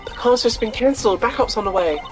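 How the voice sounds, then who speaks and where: A woman voicing an eager, excitable young female detective in a video game, with emphatic exclamations. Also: on the high side, quick pace, soft background music, voice pushed up, natural voice. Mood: urgent.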